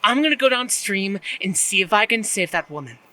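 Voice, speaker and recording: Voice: medium pitch, animated, a little fast, sharp tone. A performer playing a bold, watchful teenager in a horror audio drama. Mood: bold, urgent.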